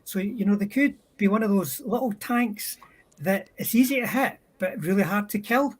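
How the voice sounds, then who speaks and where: A man's voice: high voice, moderate pace. A man on a livestream panel, chatting casually about wargame models.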